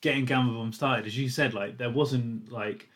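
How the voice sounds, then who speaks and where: A man interviewing a singer, asking long, halting questions full of fillers. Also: mid-range voice, fairly even intonation, fairly bright tone.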